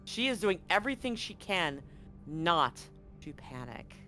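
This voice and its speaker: A game master narrating a tabletop game in a conversational way, with dramatic pauses. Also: medium pitch, very animated, pushed and bright, faint music behind.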